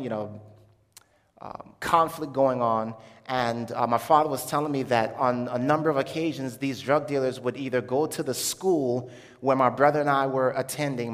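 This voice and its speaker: Halting man testifying in a reverberant hall. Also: mid-range voice. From Jamaica, then Brooklyn, New York from childhood. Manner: halting, conversational, searching for words. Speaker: speaker giving a personal testimony to an audience. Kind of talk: informal religious testimony, storytelling to an audience. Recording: echoey hall with long reverb.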